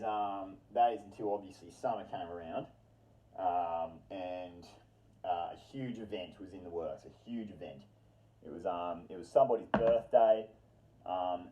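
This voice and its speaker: A man's voice: on the low side, highs cut off. A man telling a casual, rambling personal anecdote with slangy swearing and dramatic repetition. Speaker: storyteller.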